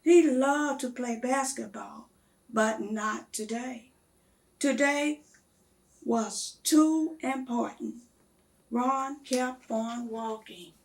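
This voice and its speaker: A woman reading a children's story aloud in a measured, expressive storytelling manner. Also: fairly high pitch.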